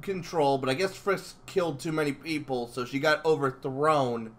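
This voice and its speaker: A man chatting casually as an interviewer, animated and halting in places. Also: fairly high pitch. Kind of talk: casual fan chat.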